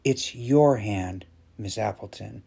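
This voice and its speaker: A shy young schoolboy read by a man, sincere and tender. He speaks in a husky voice in a storybook tale. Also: lowish voice, slow, dark tone, exaggerated voice. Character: shy, grieving young schoolboy, quietly grateful.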